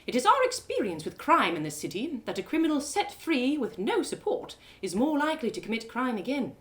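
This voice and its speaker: A woman's voice: high-pitched, very animated, fairly quick. A woman voicing a genteel, authoritative noblewoman in a fantasy audio drama, speaking formally and with firm courtesy. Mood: gracious. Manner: formal, lofty, commanding.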